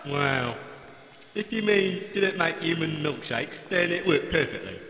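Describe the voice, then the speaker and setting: A man's voice: fairly high pitch, animated, unhurried, vocal fry. A man voicing a grumbling, cheeky old working-class robot with a British accent, blokey and sarcastic, in a comic audio drama. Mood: gleeful, sarcastic. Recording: echoey hall, crowd noise behind.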